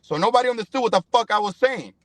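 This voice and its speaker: A young man speaks in a high voice with a dry, close sound. He is a fighter from Cuba giving an interview in casual, profane slang. His delivery is emphatic and sprinkled with familiar check-ins. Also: expressive, fast talker, no room sound. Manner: emphatic, slangy, punctuated with "bro" and "you know what I'm saying". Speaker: professional fighter, podcast guest.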